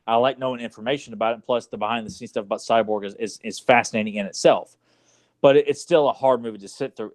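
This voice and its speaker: A man co-hosting a casual movie podcast, opinionated and quick to argue his side. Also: medium pitch. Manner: opinionated, argumentative. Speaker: podcast co-host.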